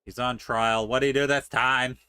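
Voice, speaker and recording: A man casually reacting out loud to a video game as he plays it. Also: medium pitch, level tone, normal speed, clean tone, no echo, clean studio sound. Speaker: game streamer. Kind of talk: casual live gameplay reaction.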